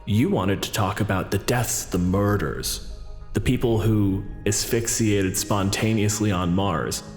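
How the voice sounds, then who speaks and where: A man's voice: on the low side, unhurried, close to an everyday voice. Man playing a sardonic debate challenger, echoey. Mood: probing, pointed. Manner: pointed, sardonic rhetorical questions. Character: accusing, sardonic male political challenger at a debate podium. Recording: echoey reverberant sound, music underneath.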